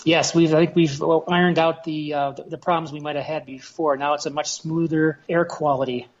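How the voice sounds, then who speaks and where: A man's voice: fairly high pitch, fairly even intonation, fairly quick, highs cut off. An American man in his fifties reminiscing casually as a podcast guest, heard over an internet call. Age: 50s.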